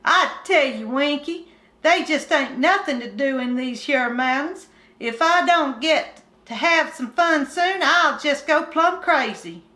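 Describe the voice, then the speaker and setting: A woman's voice: high voice, expressive, a little slow, sharp tone, pitched above the performer's own voice, full character voice. A woman voicing a bored, bragging young mountain boy in a storybook, with a Southern drawl and backwoods talk. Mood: restless. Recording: echoey room.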